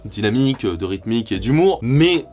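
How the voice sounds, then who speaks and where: A man from France giving sarcastic, scripted comedic commentary as a YouTuber, swearing casually. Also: moderate pace. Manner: sarcastic, swears casually, animated swings in pitch. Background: jazz background music.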